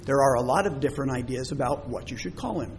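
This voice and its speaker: A man preaching a sermon in a conversational teaching style. He pauses often and repeats words, and says his voice is already tiring. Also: mid-range voice, animated, slight background noise. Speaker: pastor.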